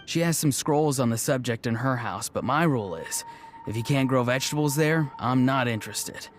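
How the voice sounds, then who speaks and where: A man's voice: medium pitch, moderate pace, close to an everyday voice. An actor playing an easygoing, polite young man in a video game, laid-back and apologetic, with music behind him. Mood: casual, frank. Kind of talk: video game dialogue acting.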